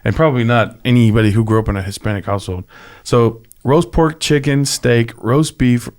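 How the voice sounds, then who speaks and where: A man's voice: medium pitch, a little fast, a little mellow. A man co-hosting a radio show, chatting casually and listing things with enthusiasm. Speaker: co-host.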